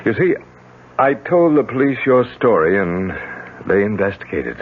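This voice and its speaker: An actor playing an earnest, concerned doctor in a 1970s radio drama, grave, coaxing and emphatic. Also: male voice, on the low side, very animated, moderate pace, slightly rough. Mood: grave, reassuring. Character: earnest, concerned male family doctor, gently firm. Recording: tinny, hissy 1970s radio recording.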